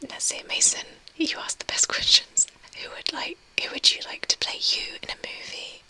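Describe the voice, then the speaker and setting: A young woman whispering softly as she reads and answers a live chat, a little tearful. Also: moderate pace. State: tearful, recently crying. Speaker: ASMR streamer. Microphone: webcam mic.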